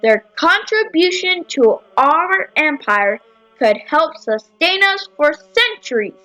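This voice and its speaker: A woman's voice: high voice, very animated, slow pace, sharp tone, dry recording, exaggerated voice, poor audio. A woman playing a commanding emperor with a stately, declarative manner in an amateur audio play. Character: Byzantine emperor, commanding male ruler, pleased.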